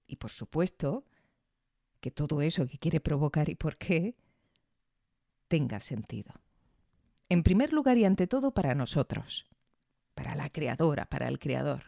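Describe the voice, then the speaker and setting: A woman's voice: deep voice, very animated, creaky, mellow, no echo. A woman reading a reflective, scripted review as podcast host. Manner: reflective, measured.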